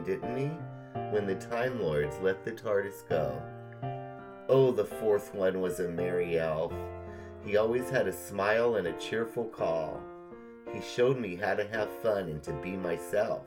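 Middle aged guy on a fan podcast, deep-ish low voice, chatty or reading stuff out. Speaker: podcast co-host. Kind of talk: casual nostalgic chat, dramatic poem reading.

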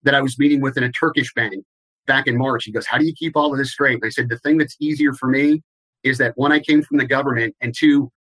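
A man answering interview questions in a measured, explanatory way, with some restarts. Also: medium pitch, steady tone, fairly bright tone, low-quality recording. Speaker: guest, compliance expert.

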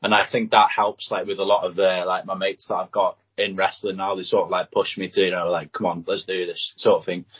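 Young Welsh man, husky lo-fi voice. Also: low-pitched, brisk, few pauses. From Anglesey, Wales. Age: young adult. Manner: relaxed, lots of fillers and "like". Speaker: guest wrestler. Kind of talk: casual interview chat. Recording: dull lo-fi audio.

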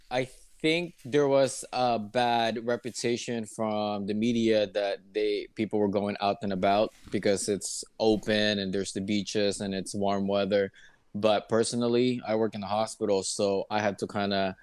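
A man's voice: on the low side, little pitch movement, a little slow, clean tone, dry recording. A man from Kansas City, Kansas, chatting as a podcast guest in an easygoing, hesitant way. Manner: easygoing, hesitant, laughs often. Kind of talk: casual interview chat.

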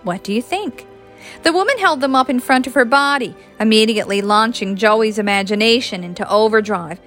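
A woman's voice: fairly high pitch, a little fast, fairly bright tone, soft background music. A woman reading a scripted story aloud as a narrator.

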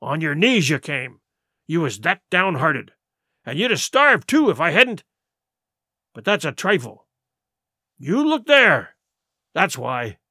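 A man's voice: on the high side, big pitch swings, slow, long pauses, sharp tone, no room sound, clean studio sound, full character voice. A man voicing a sly, domineering pirate sea cook in an audiobook, with blustering, derisive, rustic seafaring talk. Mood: scornful, boastful. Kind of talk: audiobook character voice.

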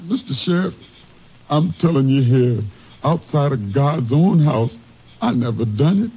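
An actor playing a humble, honest old farmhand with a Southern accent, husky, halting and deferential, in a 1950s radio drama. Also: male voice, medium pitch, lively intonation. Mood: solemn protest. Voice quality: husky, gravelly. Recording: dull old radio broadcast.

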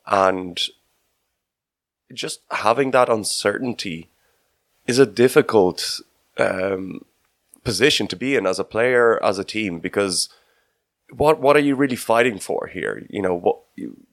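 A man giving casual sports analysis on a podcast, often halting as he thinks aloud. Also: lowish voice, expressive, no room sound, studio quality. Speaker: podcast host.

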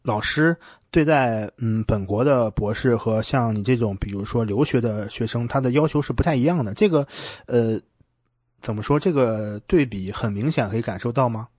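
A man's voice: mid-range voice, normal speed, darkish tone, dry recording. A man hosting a podcast, asking questions in a conversational interview style.

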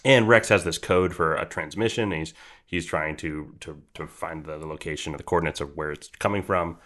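A man co-hosting a podcast, talking through a story in a casual, slightly halting way. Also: very deep voice, a bit bright. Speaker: podcast co-host. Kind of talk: casual episode recap and discussion.

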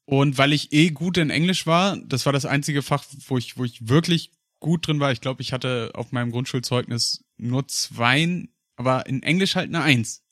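Chatty German podcast host, dry sound. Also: male voice, medium pitch, moderate pace, few pauses, a bit bright, no echo. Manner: rambling, chatty storytelling with fillers and laughs. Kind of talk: casual chat.

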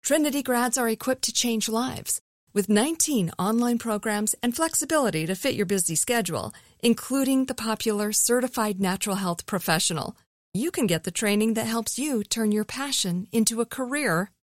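A woman giving a scripted ad read, smooth and even-paced. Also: fairly high pitch, normal speed, dry recording, clean studio sound. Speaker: ad reader.

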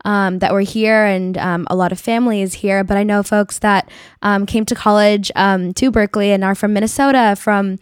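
A woman's voice: mid-range voice, fairly even intonation, normal speed, smooth, no room sound, clean studio sound. A woman in her twenties from the Bay Area, a university student guest, talking casually with many "like"s and "um"s. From California Bay Area. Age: 20s. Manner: chatty, lots of "like" and "um". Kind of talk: casual conversation.

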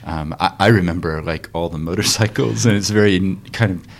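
Deep-voiced male podcast host. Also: fairly quick, vocal fry. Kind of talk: casual interview conversation.

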